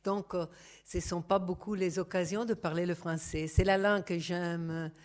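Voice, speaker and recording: A middle-aged Italian woman speaking French as a second language, opening an academic lecture in a careful, halting way. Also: lowish voice, fairly even intonation, darkish tone. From Italy. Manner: careful, halting, apologetic about her French. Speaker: guest lecturer.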